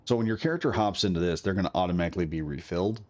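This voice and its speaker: A man's voice: low voice, rapid-fire, lo-fi sound. A man recovering from a cold, coughing now and then, giving a rambling, chatty commentary on a game build. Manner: rambling, chatty, self-correcting. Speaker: gaming video creator.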